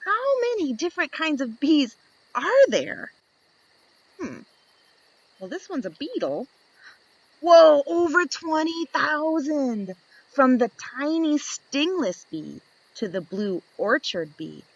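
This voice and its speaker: A woman reading a picture book aloud to young children, expressive and playful, asking the listener questions as she goes. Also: sits high, slow pace, dry recording, low-quality recording. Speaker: children's story reader.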